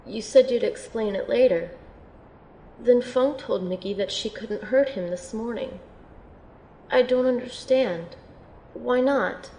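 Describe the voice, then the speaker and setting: A woman's voice: fairly high pitch, slow pace, long pauses, warm tone, echoey room, slight background noise, poor audio. A woman playing a wounded young soldier and healer in an audio drama, speaking in a casual, wry way. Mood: questioning. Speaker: voice actor in an amateur audio drama. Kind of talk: acted dialogue in an audio drama.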